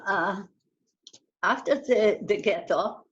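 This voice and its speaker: An older woman from Bulgaria whose first language is German, recalling her past in an oral history interview, halting and often restarting words. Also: medium pitch, muffled recording. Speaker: interviewee, Holocaust survivor.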